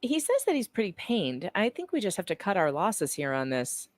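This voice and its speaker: A woman's voice: medium pitch, huge pitch swings, normal speed, dry recording. A woman reading transcript lines aloud casually, with playful, teasing conversational delivery. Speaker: guest co-reader. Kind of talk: casual dramatic reading of court transcript with banter.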